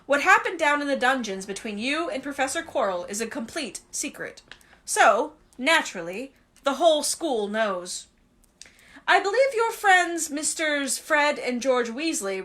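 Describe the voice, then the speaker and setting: A woman voicing a kindly old male wizard headmaster, speaking gently and unhurriedly with dry humor, while reading a storybook aloud. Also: high voice, lively intonation, moderate pace. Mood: gentle.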